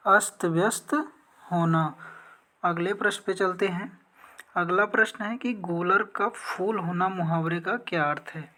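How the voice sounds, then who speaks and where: A woman's voice: fairly low pitch, a little slow, pushed and bright. A woman teacher explaining practice exam questions step by step, often asking a question and then answering it herself. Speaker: teacher, exam coaching instructor.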